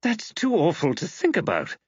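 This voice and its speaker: A male audiobook reader performs a thoughtful, easily dismayed woman, her voice raised and expressive. She speaks earnestly, in a close, dry recording that sounds slightly dull. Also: high-pitched, normal speed, breathy edge, dry recording, pitched above the performer's own voice, exaggerated voice. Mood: earnest, pensive. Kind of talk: audiobook character dialogue.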